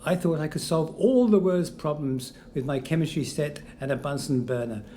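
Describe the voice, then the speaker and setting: A man's voice: fairly high pitch, normal speed, mellow, natural voice. Man playing a dry, joking inventor ghost. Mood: resigned. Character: flippant, rueful male ghost, a failed inventor. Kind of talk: audio drama acting. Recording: faint noise behind.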